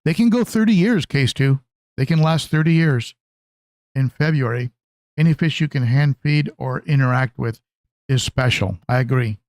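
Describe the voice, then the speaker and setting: A man hosting a casual hobby livestream, talking conversationally and haltingly, often repeating phrases as he answers viewers. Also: mid-range voice, darkish tone, dry recording. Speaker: livestream host, aquarium hobbyist.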